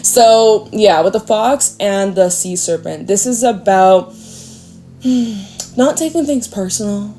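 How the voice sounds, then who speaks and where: A woman with a mellow, soft-edged voice gives a casual tarot reading to her viewers. She sounds a little congested and gently encourages them, asking question after question. A faint hum sits behind her. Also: mid-range voice, slow. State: congested nose. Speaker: tarot reader.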